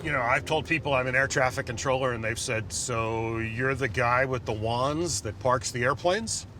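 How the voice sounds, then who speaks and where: A man's voice: medium pitch, normal speed, pushed and bright. A man who works as an air traffic controller, talking casually in an interview.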